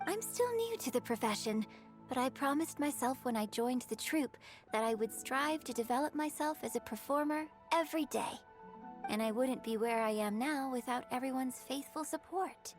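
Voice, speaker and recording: A woman's voice: high voice, expressive, normal speed, faint music behind. A woman playing a humble, gracious young female opera star in a video game, speaking in a polite, refined, demure way. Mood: humble, grateful.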